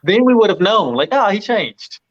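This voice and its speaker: A man's voice: sits high, very animated, normal speed, dull audio. A man chatting casually and colloquially on a film review panel, somewhat hesitant in his phrasing. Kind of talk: casual group film discussion.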